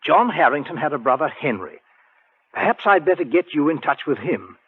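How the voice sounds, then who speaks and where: An actor playing a concerned, solicitous friend in a 1940s radio drama, earnest and animated in his delivery. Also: fairly high pitch, normal speed, slightly rough. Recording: tinny 1940s radio broadcast.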